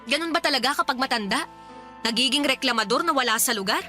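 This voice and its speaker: A fed-up young wife in a radio drama, high and bright in tone. She complains with sarcasm and a sharp tongue, over faint music. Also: lively intonation, fast talker. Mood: exasperated complaining. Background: soft background music.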